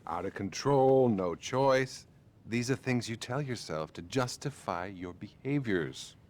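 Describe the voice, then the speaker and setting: An actor playing a patronising, bluntly judgmental male therapist in a video game, measured and condescending. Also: mid-range voice, lively intonation, darkish tone, lightly acted. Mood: patronising concern. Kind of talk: video game cutscene acting.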